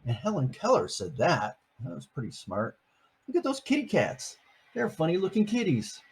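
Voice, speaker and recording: A man's voice: fairly high pitch, huge pitch swings, moderate pace, slightly rough. An older man, a grandfather, reading a picture book aloud on video to his grandkids, playful and chatty, asking them questions as he goes.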